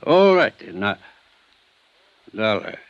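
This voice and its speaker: An actor playing a calm, shrewd legal man who questions his client slowly and deliberately in a 1950s radio drama. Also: fairly low pitch, dry recording, heavily acted. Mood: cautious, probing. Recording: dull old radio recording.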